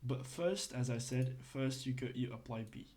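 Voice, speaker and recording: A man's voice: mid-range voice, moderate pace, noisy background. A man from Belgium, giving a casual, hesitant lesson full of fillers and restarts. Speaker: instructor.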